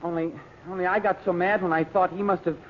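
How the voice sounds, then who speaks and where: An actor playing an eager, excitable teenage boy adventurer in an old radio serial, telling things breathlessly in slang. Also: high-pitched, fast, lightly acted. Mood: eager, boastful. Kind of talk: 1930s-40s radio serial acting. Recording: lo-fi noisy vintage radio recording.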